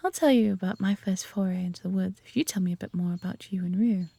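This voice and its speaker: A woman's voice: medium pitch, fast, gravelly, warm tone, husky, no echo. A woman with an English accent playing a friendly, adventurous elf archer in a roleplay audio drama, talking conversationally to the listener. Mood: friendly, chatty.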